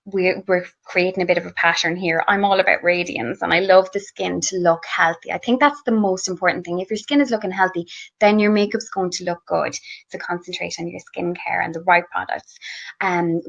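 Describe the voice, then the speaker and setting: A woman's voice: lowish voice, fairly quick, gravelly. Irish woman, enthusiastic beauty chat, frequent ums, dull lo-fi sound. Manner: chatty, enthusiastic, many fillers and restarts. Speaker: guest beauty blogger. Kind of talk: casual chat about skincare products. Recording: slightly muffled lo-fi audio.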